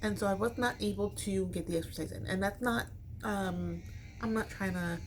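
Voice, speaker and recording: A woman's voice: medium pitch, moderate pace. A middle-aged American woman from Chicago chatting casually to her viewers in a rambling, informal, slangy way. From Chicago, United States. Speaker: vlogger. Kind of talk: casual chat to camera. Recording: noticeable background noise.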